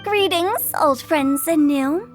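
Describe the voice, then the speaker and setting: A woman's voice: high-pitched, slow, soft background music. A woman voicing a mischievous, theatrical young funeral director in a video game, with a playful sing-song delivery. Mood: playful welcome.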